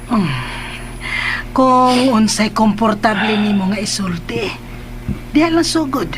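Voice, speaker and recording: A woman's voice: fairly high pitch, slow pace, background noise. A woman playing a caring, probing older mother figure in a Filipino radio drama.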